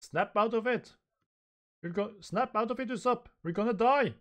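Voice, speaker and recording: A man voicing a frightened young woman from a manga, yelling in an exclamatory, panicky way. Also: high voice, normal speed, voice pushed up. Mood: panicked.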